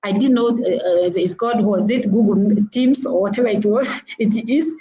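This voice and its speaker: Earnest Kenyan woman, warm mellow voice. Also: medium pitch, normal speed, muffled recording. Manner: earnest and advising, repeating points with frequent "uh" hesitations. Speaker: motivational speaker. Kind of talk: instructive talk on networking. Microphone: video call.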